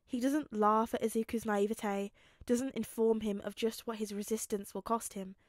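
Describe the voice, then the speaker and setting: A woman narrates an audiobook in a highish voice. Her delivery is dramatic and emotive, with halting pauses for effect. The sound is very dry, with hardly any room on it. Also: steady tone, no room sound. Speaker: fan fiction audiobook reader. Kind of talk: expressive scripted narration, reading a story aloud.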